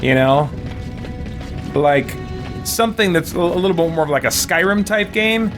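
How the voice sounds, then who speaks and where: A man's voice: sits high, animated, normal speed, over music. A man chatting casually on a game stream, thinking aloud with mild exasperation. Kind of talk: casual, muttering live stream chat.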